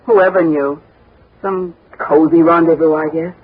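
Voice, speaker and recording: An actress in a 1960s radio drama playing a brisk, flirtatious widow who talks bluntly and familiarly, with a husky voice. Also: female voice, low-pitched, fairly even intonation. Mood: cool, guarded. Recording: tinny, band-limited 1960s radio recording.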